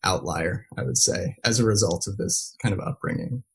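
Husky-voiced man, rambling chat. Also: on the low side, normal speed. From United States and Belgium. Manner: lots of filler likes, restarts. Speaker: interview guest. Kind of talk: casual conversational storytelling.

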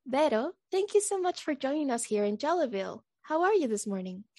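A woman hosting a community radio interview, friendly and a little nervous and excited. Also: high voice, fairly quick, no room sound, studio quality. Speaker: podcast host.

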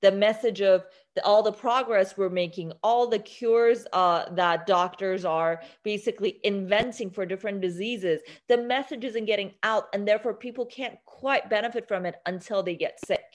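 A woman's voice: mid-range voice, moderate pace, hardly any pauses. A young woman from Iran, an interview guest, speaking earnestly with many restarts. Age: young adult. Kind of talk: interview conversation.

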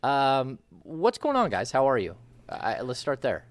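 A man's voice: medium pitch, wildly swooping pitch, measured pace, no room sound. A man hosting a casual sports podcast, rambling with lots of fillers in a friendly way. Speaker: podcast host.

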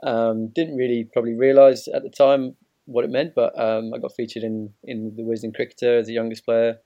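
A man's voice: medium pitch, flat delivery, a little fast, mellow, dry recording, dull audio. A man in his thirties from Zimbabwe, telling his story conversationally as an easygoing interview guest. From Zimbabwe, moved to England as a child. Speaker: podcast guest, ex-professional cricketer.

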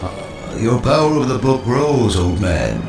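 Deep, gravelly, echoing supervillain. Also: male voice, wildly swooping pitch, measured pace, exaggerated voice. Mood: gloating. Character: smug male costumed supervillain. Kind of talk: old-time radio drama acting. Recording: echoing voice over music.

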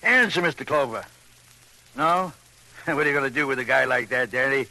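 A man's voice: medium pitch, normal speed, gravelly, pushed and bright. An actor playing a boastful, over-familiar small-city policeman who mocks and prods people, in vintage radio crime drama style. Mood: mocking. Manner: mocking, over-familiar, prodding. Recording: faintly noisy old radio recording.

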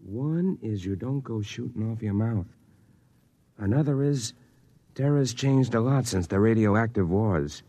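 An actor playing a gruff, world-wise freight office boss, blunt, slangy and lecturing, in an old-time radio drama. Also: male voice, on the low side, lively intonation, unhurried, warm tone, no echo.

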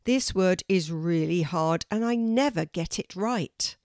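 A woman's voice: on the low side, unhurried, darkish tone, no echo, studio quality. A woman voicing an eager, earnest young girl in a children's storybook, careful and a little unsure.

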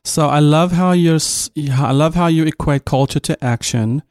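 Male host, Afrikaans, warm smooth voice, friendly curious questions, dry close sound. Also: a little high, moderate pace, no echo. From South Africa. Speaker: podcast host. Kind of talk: casual interview conversation.

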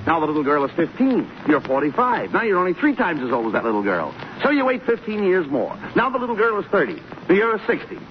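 A man's voice: fairly high pitch, wildly swooping pitch, fast talker, hardly any pauses. An actor playing a bossy, exasperated army private scolding his pal in a 1940s radio comedy, fussy and insistent. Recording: muffled, noisy 1940s radio broadcast recording.

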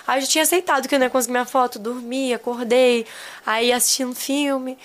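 A young Brazilian woman, a podcast guest, telling a travel story in casual chat, animated and laughing, repeating phrases for emphasis. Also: high-pitched, fast talker. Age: young adult.

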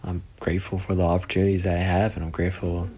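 A nineteen-year-old man talks in a very deep, dark, mellow voice. He sounds friendly and grateful, chatting casually into his phone. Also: flat delivery, quick pace. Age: teens. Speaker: college student caller.

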